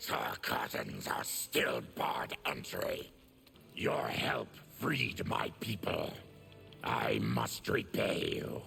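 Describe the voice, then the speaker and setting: A man voicing a grim, diseased monster warrior with a gravelly growl in a video game. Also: extremely low pitch, big pitch swings, drawn-out words, sharp tone, soft background music, full character voice. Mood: grave, grateful. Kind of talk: video game character dialogue.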